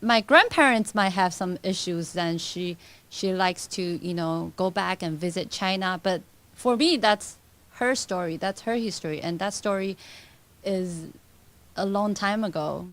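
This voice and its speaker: A young woman from Taiwan answering an interview casually, halting and restarting. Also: mid-range voice, fairly bright tone. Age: young adult.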